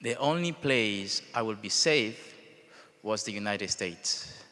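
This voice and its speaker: A young man from El Salvador with a Salvadoran Spanish accent gives a prepared personal speech in short, deliberate phrases. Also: fairly low pitch, expressive, bright, forceful tone. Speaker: speaker telling his life story at a charity event. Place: large event hall, speaking to an audience. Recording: reverberant large hall sound.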